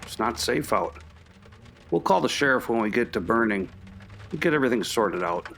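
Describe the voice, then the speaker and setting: A man acting as a calm, practical professor in a radio play, reassuring and matter-of-fact. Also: mid-range voice, moderate pace, vocal fry. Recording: faint background noise.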